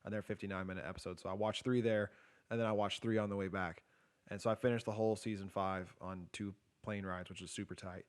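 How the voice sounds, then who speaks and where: A man's voice: steady tone, quick pace, smooth. A young man co-hosting a casual podcast, rambling through a story with lots of "like" and swearing, a bit short of breath. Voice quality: self-described low, quiet voice. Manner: rambling retelling, heavy filler "like", swearing. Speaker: podcast co-host. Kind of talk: casual chat.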